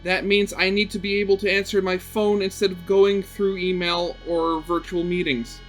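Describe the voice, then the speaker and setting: A man reading a visual novel aloud as expressive narration, dramatic, with brief conversational asides. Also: high voice, moderate pace, soft background music. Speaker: video game let's player reading a visual novel aloud.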